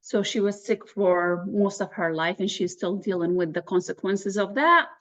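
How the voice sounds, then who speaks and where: A woman's voice: mid-range voice, normal speed, fairly bright tone, dull audio. A woman from Iraq, around forty, telling her personal story candidly and matter-of-factly as an interview guest. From Baghdad, Iraq. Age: 30s to 40s. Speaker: interview guest, real estate agent.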